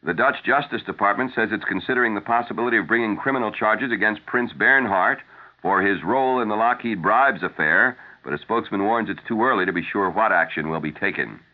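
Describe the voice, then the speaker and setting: An American man anchoring a 1970s radio newscast, reading the script in a formal, measured announcer delivery. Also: lowish voice. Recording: narrow, tinny vintage AM radio broadcast.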